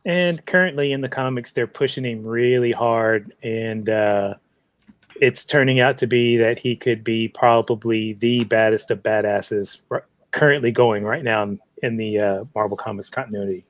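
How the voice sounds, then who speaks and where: A man co-hosting a casual panel chat, speaking in halting, broken phrases. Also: medium pitch, fairly even intonation, no echo. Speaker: co-host on a geek culture panel show.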